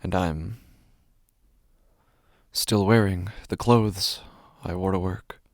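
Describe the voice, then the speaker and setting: A man playing a frightened, sleepless narrator of his own waking nightmare in a horror podcast, halting and intense, repeating his phrases. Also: deep voice, fairly even intonation, dry recording, clean studio sound. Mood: dazed, uneasy. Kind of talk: horror fiction podcast, dramatic first-person monologue.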